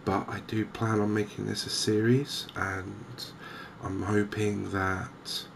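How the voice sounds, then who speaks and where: Softly spoken man, low and creaky. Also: level tone, background noise. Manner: hesitant, unhurried, gentle. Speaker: host of a cozy gaming channel. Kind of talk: softly spoken ASMR game commentary.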